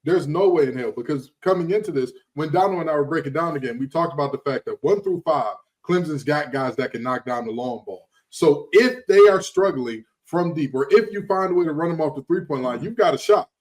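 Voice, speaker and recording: Male podcast panelist, emphatic, repeats himself, slightly high voice. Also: a little fast, hardly any pauses, poor audio. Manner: animated and emphatic, repeats phrases. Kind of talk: casual sports talk.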